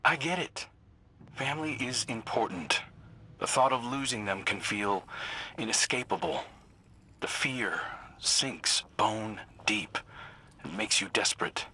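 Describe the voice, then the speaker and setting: An actor playing a regretful, reassuring mentor in a video game, with a husky, plain-spoken, sincere delivery. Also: male voice, on the low side, unhurried, bright, forceful tone, full character voice. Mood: sympathetic. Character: regretful, reassuring male mentor with a troubled past. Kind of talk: video game dialogue acting.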